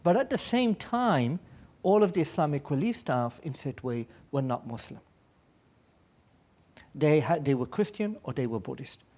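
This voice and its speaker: A man speaks at a public panel in a relaxed, mellow tone that sits a little higher than most male voices. He comes across as an earnest aid worker, explaining through personal stories and repeating phrases for emphasis. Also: a little slow. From born in Pakistan, American.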